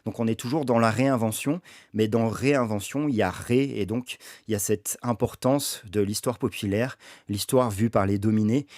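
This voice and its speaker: A man's voice: fairly low pitch, normal speed, a little mellow, no room sound, clean studio sound. A man hosting a livestream reading session, talking casually with many hesitations and restarts. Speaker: stream host reading a book aloud.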